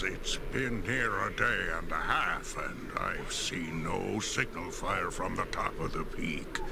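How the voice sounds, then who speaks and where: An actor playing a weary, battle-worn dwarf clansman in a video game, solemn and deliberate. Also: fairly low pitch, gravelly, noisy background, exaggerated voice.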